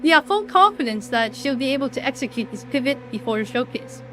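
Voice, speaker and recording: A woman in an audio drama playing a formal, unyielding company executive with a measured, officious manner. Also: high-pitched, lively intonation, sharp tone, slight background noise. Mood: firm, formal. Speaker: voice actor in fan audio drama.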